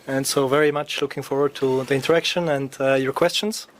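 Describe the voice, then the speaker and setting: A man's voice: medium pitch, steady tone, brisk. A man from Switzerland, a radio interview guest, talking hesitantly with many filler sounds. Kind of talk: interview answers.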